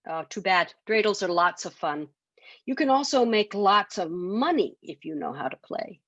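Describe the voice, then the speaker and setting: Woman reading as a clever, teasing storybook man, lively. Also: medium pitch, normal speed, dry recording, muffled recording. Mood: nonchalant. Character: clever, calm trickster man outwitting goblins. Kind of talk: children's storybook character voice.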